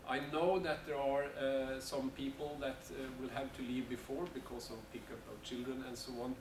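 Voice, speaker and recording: A man's voice: medium pitch, level tone, normal speed. A man organizing a seminar, giving announcements with many fillers and restarts in a meeting room. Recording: echoey room from far off, noisy.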